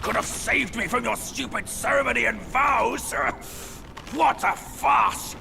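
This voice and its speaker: A man voicing a brash, lecherous and cowardly pig demon in a video game, with a gravelly, theatrical, mocking delivery. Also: extremely high pitch, measured pace, full character voice. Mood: outraged, mocking. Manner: theatrical, blustering, prone to shouting. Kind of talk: video game cutscene acting. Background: dramatic game music.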